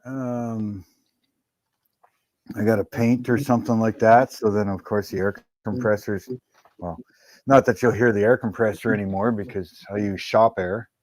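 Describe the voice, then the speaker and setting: A man hosting a casual livestream from his workshop, talking in a slow, easygoing, rambling way about his projects. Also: lowish voice, fairly even intonation, darkish tone, no room sound, poor audio. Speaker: livestream host in a garage workshop.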